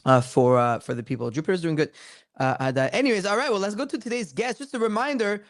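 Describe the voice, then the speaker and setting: A man hosting a casual crypto podcast, talking in loud, swearing, hyped banter with his co-hosts and guest. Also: sits high, fast, no room sound, studio quality. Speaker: podcast host. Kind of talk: casual crypto show chat.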